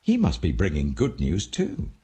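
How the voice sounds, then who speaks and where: A man voicing a grave, gentle ancient king in a scripture audiobook, calm and regal. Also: deep voice, normal speed. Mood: hopeful.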